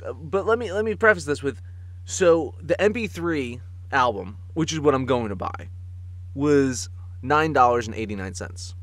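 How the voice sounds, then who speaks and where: A man's voice: mid-range voice, lively intonation, moderate pace, fairly bright tone, no echo. Casual, chuckling man on a close mic. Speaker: podcast co-host. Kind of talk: casual comic chat.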